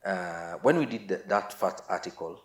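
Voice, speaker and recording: A man's voice: deep voice, lively intonation, normal speed. A Kenyan man telling anecdotes casually as an interview guest, acting out bits of dialogue as he goes. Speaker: interview guest, musician recalling career. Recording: roomy, echoing sound.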